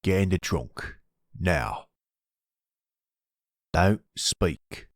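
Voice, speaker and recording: A man's voice: deep voice, animated, very slow, gravelly, a little hiss or hum, full character voice. A man playing a cold, vengeful mafia villain in an audio roleplay, curt and threatening. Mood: cold menace.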